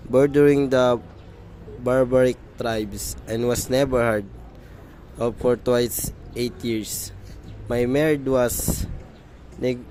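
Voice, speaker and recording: A man playing an earnest merchant bridegroom pleading his case in an amateur radio drama, reading formally and haltingly. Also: mid-range voice, dark tone, lightly acted, poor audio.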